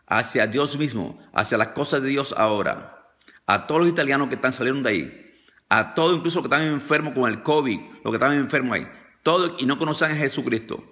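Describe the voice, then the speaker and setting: A man's voice: mid-range voice, fast, a bit bright. An older man leading a fervent, repetitive deliverance prayer on a phone video call, commanding and declaring. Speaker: deliverance preacher.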